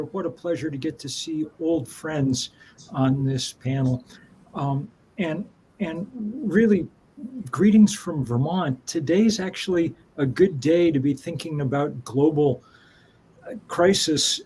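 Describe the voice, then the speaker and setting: A man's voice: a little high, darkish tone, slight background noise, rough recording. A man speaking as a panelist on a video call, earnest and conversational, with restarts.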